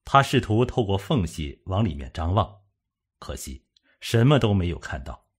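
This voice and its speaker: A man's voice: sits low, big pitch swings, moderate pace, husky. A man narrating a suspense novel from a script, as an audiobook reader.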